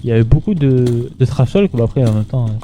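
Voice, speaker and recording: A man's voice: medium pitch, lively intonation, quick pace, dark tone, slight background noise. A man doing casual gameplay commentary in an informal, slangy way, muttering to himself and chatting to his viewers. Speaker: gaming streamer.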